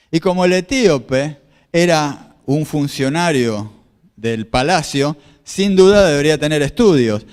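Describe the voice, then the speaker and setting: A man's voice: a little high, darkish tone, big-room sound. A man preaching a sermon as a pastor, explaining scripture and asking his listeners questions. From Argentina. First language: Spanish. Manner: explanatory, repeats phrases, asks the audience questions. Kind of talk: sermon with slides.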